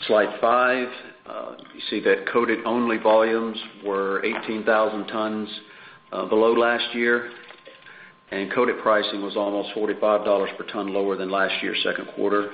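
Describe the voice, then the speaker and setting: A man with a lowish, very even voice reads through prepared results in a measured, businesslike way. He sounds like an executive on a conference call. The sound is roomy and echoey, with slightly muffled audio. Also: vocal fry. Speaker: company executive presenting financial results. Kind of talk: prepared earnings call presentation. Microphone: conference call line. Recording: dull, echoey conference call with faint noise.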